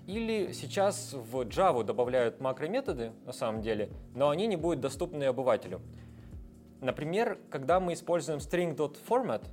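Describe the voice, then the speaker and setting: A man's voice: a little high, sing-song, normal speed, a little mellow, soft background music. A man guest in a casual interview, explaining technical ideas at length with frequent hesitation sounds. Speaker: guest, software developer.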